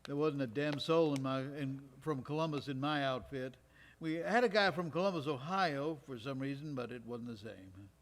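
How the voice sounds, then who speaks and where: A man's voice: medium pitch, normal speed, natural voice. An actor playing a Georgia World War II veteran, telling his memories in a folksy, wry, conversational way on stage. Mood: reminiscing, wry. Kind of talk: live stage storytelling monologue, conversational. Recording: live stage recording in recreation hall.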